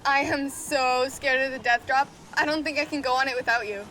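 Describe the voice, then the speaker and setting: A woman's voice: high-pitched, steady tone, brisk, bright, forceful tone, slight background noise, rough recording. A woman playing a clingy, girlish teenage camper in audio drama dialogue. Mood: fawning, nervous.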